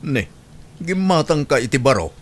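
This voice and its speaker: A man's voice: on the high side, sing-song, moderate pace. A man with an Ilocano accent playing a middle-aged father in an audio drama, questioning and eager for comforts. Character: father eager for comforts paid by his child.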